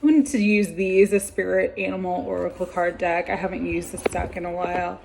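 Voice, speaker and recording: A woman doing a weekly tarot card reading, talking gently and meandering with many fillers while she is losing her voice. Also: lowish voice, steady tone, brisk, creaky, background noise.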